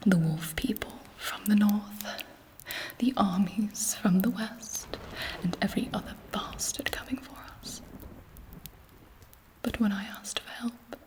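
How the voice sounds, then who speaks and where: A woman voicing an imperious, scheming vampire queen in a breathy whisper, haughty and seductive, as an ASMR roleplay. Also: mid-range voice, darkish tone, lightly acted, rough recording. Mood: haughty, bitter. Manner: slow, haughty, seductive, measured pauses.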